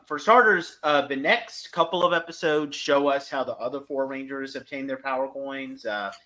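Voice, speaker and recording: Man, bright forceful voice, podcast co-host, casual recap, halting piecemeal explanations. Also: mid-range voice. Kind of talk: casual episode recap and review.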